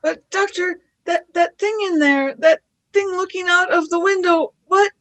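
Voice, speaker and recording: A strikingly high, girlish voice acted by a woman plays a young companion in a radio play. She is frightened and pleading, with anxious, urgent exclamations. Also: unhurried, fairly bright tone. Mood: scared.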